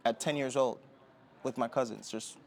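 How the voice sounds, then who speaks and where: A young man from Jamaica talking as a podcast guest, relaxed and rambling with many fillers and restarts. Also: medium pitch, normal speed, gravelly. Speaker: guest, Olympic sprinter. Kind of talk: casual interview talk. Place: indoor podcast setting.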